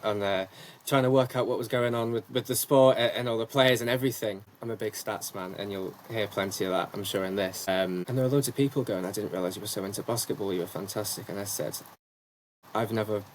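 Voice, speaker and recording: A young man who commentates on sport answers podcast questions fluently and at length, filling pauses with ums. His voice sits fairly low. A faint background hiss hangs under his speech. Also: poor audio. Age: young adult. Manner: articulate, talkative, with frequent ums. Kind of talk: casual interview answers.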